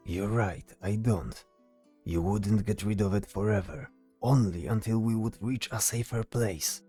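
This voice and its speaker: A man's voice: lowish voice, measured pace, warm tone, husky, faint music behind. A man playing a cautious, weary soldier with dry patience in a fantasy audio drama. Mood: weary, exasperated.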